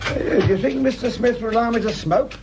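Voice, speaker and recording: An actor playing a polite, dryly joking police sergeant in a British radio crime drama, with an English accent and a hesitant, deferential way of talking. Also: male voice, extremely high pitch, fairly quick, gravelly. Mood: wry, casual. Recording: noisy radio drama recording.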